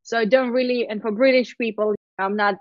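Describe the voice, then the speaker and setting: A woman's voice: a little high, a little fast, no echo, dull audio. A woman in her thirties who grew up in Lithuania with Russian as her first language, telling her story candidly as an interview guest. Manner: candid, animated storytelling with frequent laughs. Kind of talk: casual interview chat.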